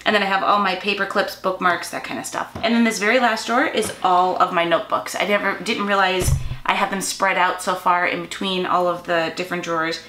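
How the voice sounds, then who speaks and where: A woman in her forties talks casually through her craft supplies, chatty and relaxed, sometimes stopping to correct herself. Her voice is bright and crisp. The room is echoey and has a faint hiss behind it. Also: on the low side, brisk. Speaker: craft channel host. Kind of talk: casual show-and-tell narration. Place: home craft room, indoors.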